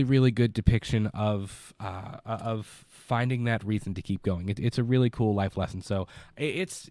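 A man's voice: medium pitch, a little mellow, no room sound, studio quality. A young man with a stuffed-up, congested voice hosting a casual movie podcast from his basement, rambling and repeating words. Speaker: podcast host. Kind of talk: casual live podcast review.

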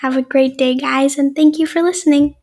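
Kobieta prowadząca podcast mówi wysokim głosem z lekką chrypką i chrapliwym pogłosem na końcach zdań. Brzmi żywo i serdecznie, gdy wita słuchaczy i zadaje pytania. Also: female voice, high voice, fairly even intonation, fairly quick, a little mellow. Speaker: podcast host. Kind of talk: podcast interview.

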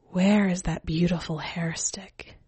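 A woman reader plays a sly female skeleton spirit from a storybook, seductive and faintly menacing. The voice is low and slow, with a hushed, coaxing manner. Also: steady tone, husky, no echo, heavily acted.

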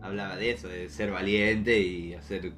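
Lowish-voiced young Argentine man. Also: steady tone, moderate pace, music in the background. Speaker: podcast co-host. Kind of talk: casual chat.

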